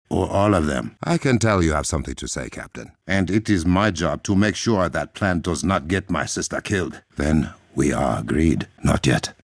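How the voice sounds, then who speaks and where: An actor playing a proud, resolute warrior king in a video game, with an African accent and formal, measured, regal diction. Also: low-pitched, creaky, no echo, clean studio sound, exaggerated voice. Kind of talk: dramatic video game voice acting.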